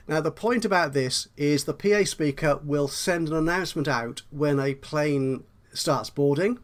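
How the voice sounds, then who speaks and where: Man explaining a video game tutorial. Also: medium pitch. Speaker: tutorial host.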